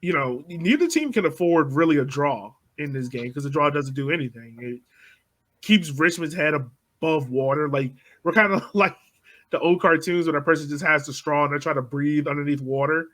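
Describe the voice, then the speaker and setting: A man with a somewhat high voice co-hosting a casual sports podcast. He talks in an easygoing way and laughs along with the others. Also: normal speed, a bit bright. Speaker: podcast co-host.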